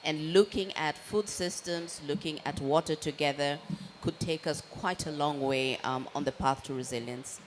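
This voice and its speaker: Low-voiced woman moderating a panel. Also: moderate pace, slight background noise, poor audio. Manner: polite, summarizing, introduces speakers.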